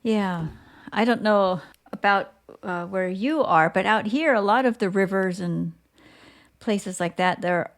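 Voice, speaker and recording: Creaky-voiced woman hosting an interview. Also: mid-range voice, measured pace. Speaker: podcast interviewer, host. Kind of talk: conversational interview questions.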